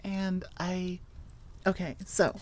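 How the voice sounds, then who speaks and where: Rambling, slow-paced woman, lowish voice. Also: little pitch movement, darkish tone. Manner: informal, enthusiastic, digressive. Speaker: audio roleplay creator. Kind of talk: casual rambling outro chat.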